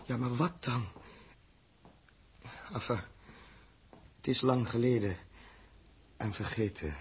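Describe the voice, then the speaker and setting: Husky, hesitant grown man. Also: mid-range voice, slow. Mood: weary. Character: weary, puzzled grown man, gentle with child. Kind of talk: 1960s radio drama acting.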